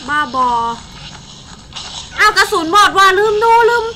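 Casting: young female game streamer, high voice, informal and chatty play-by-play, noisy indoor recording. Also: unhurried. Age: young adult. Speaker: game channel host. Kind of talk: casual live gameplay commentary. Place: indoor streaming setup at a desk.

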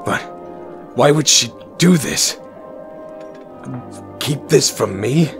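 A man's voice: medium pitch, lively intonation, slow pace, gravelly, over music. A man playing a stubborn, sardonic captive Jedi who talks in a challenging way, in a fan audio drama. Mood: disbelieving. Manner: challenging, sarcastic.

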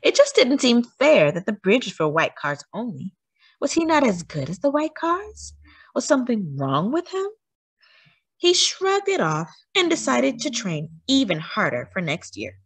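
Female children's storyteller, animated and emphatic read-aloud, very varied intonation, dry sound. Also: a little high, a little slow, breathy edge, no echo.

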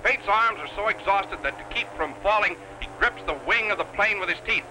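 A man giving 1930s newsreel stunt commentary in an excitable, folksy showman's delivery. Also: sits high, fairly quick. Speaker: newsreel announcer. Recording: noisy 1930s newsreel soundtrack.

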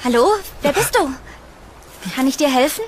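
A kind but anxious young woman, played by an actress, high-pitched and earnest. She is the trusting heroine of a horror audio drama, expressive, over faint ambient noise. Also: normal speed. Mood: friendly, curious.

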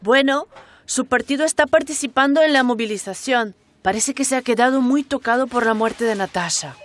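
Radio serial: helpful, serious female researcher voiced by an actress, high voice, natural dialogue delivery. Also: moderate pace, no room sound, close to an everyday voice. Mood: matter-of-fact. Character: serious, helpful woman researcher bringing news.

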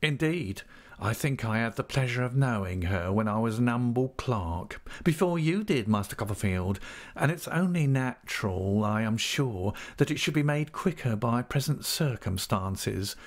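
A man's voice: medium pitch, lively intonation, normal speed, close to an everyday voice. A man voicing a falsely humble, scheming clerk in an audiobook, meek, oily and obsequious. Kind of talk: audiobook character acting.

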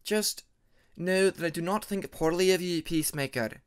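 A man voicing a formal, sincere female ice dragon in a measured manner for a fan fiction audiobook. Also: high-pitched, clear, resonant tone, voice pushed up, lightly acted. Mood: stern, sincere.

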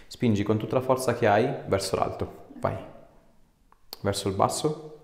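A man's voice: on the low side, fairly even intonation, normal speed, creaky. A man, working as an osteopath and giving short, informal instructions and questions during a hands-on consultation. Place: small clinic treatment room, indoors. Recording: echoey small treatment room.

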